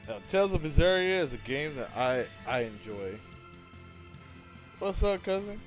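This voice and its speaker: A hungover man casually chatting with his livestream viewers as he sets up a game, rambling and halting. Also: a little high, big pitch swings, faint music behind. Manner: rambling, halting, talks to chat while fiddling with setup. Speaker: gaming livestreamer.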